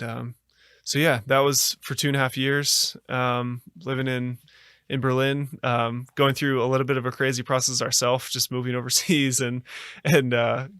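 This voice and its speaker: Earnest American man, smooth flat voice. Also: mid-range voice, no echo, clean studio sound. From United States. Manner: earnest, halting, many fillers and restarts. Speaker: podcast guest. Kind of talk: reflective storytelling.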